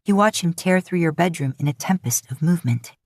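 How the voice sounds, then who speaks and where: Low-pitched woman narrating romantic fiction. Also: animated, brisk, dry recording, clean studio sound.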